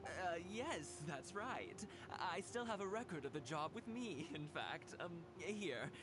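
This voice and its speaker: A man voicing a polite, deferential shopkeeper in a video game, speaking in a careful, halting way. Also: sits high, animated, noisy background. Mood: deferential, hesitant. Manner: courteous, halting.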